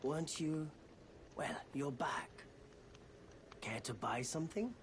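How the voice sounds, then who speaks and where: An actor playing a weary, soft-spoken wandering merchant in a fantasy video game, speaking in a hushed, measured, confiding way. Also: male voice, on the high side, a little hiss or hum. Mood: wry, inviting. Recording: game dialogue with clear music behind. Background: music.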